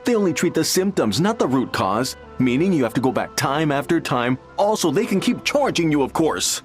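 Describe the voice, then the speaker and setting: A smug, gossipy client. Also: male voice, fairly high pitch, very animated, normal speed, soft background music. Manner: smug, confiding. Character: smug male client, gossipy and sure of himself. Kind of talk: game voice acting.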